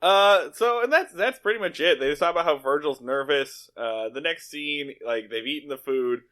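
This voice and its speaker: A man with a fairly high voice chats as a podcast co-host. He retells television episodes in a loose, comedic way, swearing freely and slipping into quoted lines. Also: moderate pace, fairly bright tone. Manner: profane, informal, acts out quoted lines. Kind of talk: casual comedic TV episode recap.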